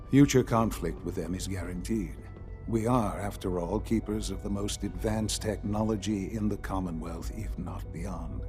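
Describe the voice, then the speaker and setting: An actor in a video game playing an elderly male leader of scientists, calm, authoritative and formal in measured, articulate phrases. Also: sits low. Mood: pleased, grave. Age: older. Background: music.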